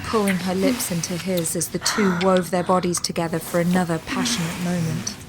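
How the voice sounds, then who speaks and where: A woman's voice: on the low side, fairly even intonation, brisk, background noise. A woman delivering scripted dramatic narration as the storyteller of an audio drama.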